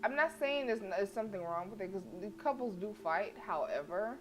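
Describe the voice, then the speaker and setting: A woman's voice: medium pitch, lively intonation, a little fast. A sassy, outspoken woman chatting casually and slangily as she streams a game and reacts to it. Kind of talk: casual livestream commentary, reacting and reading a visual novel aloud. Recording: music behind the voice. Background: plucked guitar game music.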